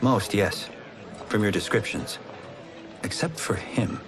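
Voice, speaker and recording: An earnest young champion, with a fairly low male voice. He sounds curious and sincere, in a game cinematic with music clearly behind. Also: slow pace. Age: young adult. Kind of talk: video game cinematic acting.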